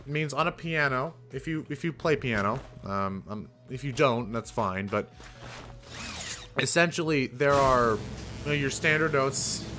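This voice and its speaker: A young man streamer chatting casually, rambling with fillers and casual swearing, with a fairly deep voice. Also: lively intonation, measured pace, sharp tone, soft background music. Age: young adult. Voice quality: somewhat deep voice. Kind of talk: casual live-stream chat.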